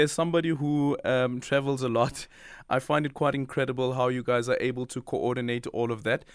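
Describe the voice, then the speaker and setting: A man's voice: mid-range voice, clean tone, dry recording. South African male interviewer, dry close sound. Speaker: interviewer, host. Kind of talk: conversational broadcast interview questions.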